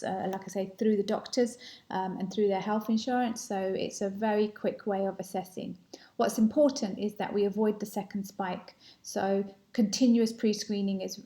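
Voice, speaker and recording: A woman in her thirties walking through a software demo, explaining with frequent ums and uhs, recorded on a laptop webcam at home. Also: medium pitch. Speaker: product presenter.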